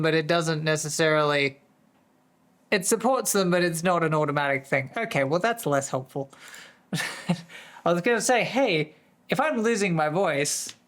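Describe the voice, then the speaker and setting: A streamer with a voice that is going hoarse, chatting casually to viewers in a distracted, rambling way. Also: medium pitch, fairly bright tone. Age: adult, working full-time. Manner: easily distracted, rambling with many restarts. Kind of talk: casual live-stream chat.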